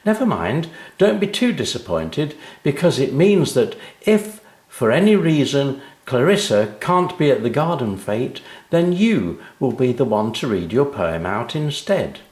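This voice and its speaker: Man acting a reassuring primary schoolmistress, raised lively voice, measured, storybook, echoey room. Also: mid-range voice, voice pushed up. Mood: encouraging. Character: kindly, encouraging female primary school teacher. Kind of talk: children's storybook character voice.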